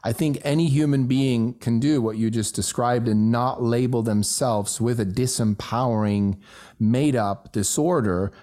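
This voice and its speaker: A man who grew up in Switzerland and speaks German as his first language, talking in a casual conversation with emphatic rises in pitch on key points. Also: measured pace, warm tone.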